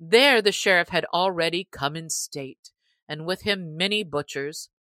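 Low-pitched woman narrating a storybook, unhurried. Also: no echo. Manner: expressive, old-fashioned literary phrasing. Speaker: audiobook narrator.

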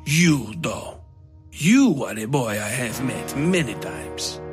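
A man voicing a tall, menacing knife-wielder, speaking in deliberate, formal phrases with cool taunts. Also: medium pitch, big pitch swings. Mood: condescending. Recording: music under the voice.

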